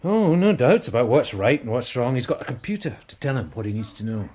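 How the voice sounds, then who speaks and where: A man acting a gruff, sarcastic older police sergeant with an English accent in a radio drama. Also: medium pitch, expressive, brisk, warm tone. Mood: wry, grumbling. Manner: blunt, exasperated, sarcastic.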